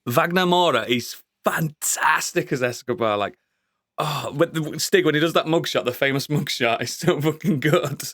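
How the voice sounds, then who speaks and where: A man co-hosting a podcast, chatting casually about a TV show, sweary and excitable, laughing often. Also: fairly high pitch, normal speed, dry recording. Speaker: podcast co-host. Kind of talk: casual review chat.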